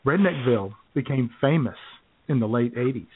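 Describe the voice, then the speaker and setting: American male co-host, phone-quality audio, loose comic storytelling with hesitations and throat-clearing. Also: medium pitch, expressive, normal speed. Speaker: radio show co-host. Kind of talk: casual comic chat, telling anecdotes. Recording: phone-like, narrow-band sound.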